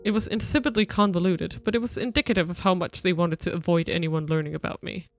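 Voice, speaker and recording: Woman, streamer, narrating a visual novel, steady delivery, faint music. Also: on the low side, fast talker. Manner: steady narration with playful retorts. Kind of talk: reading a visual novel aloud.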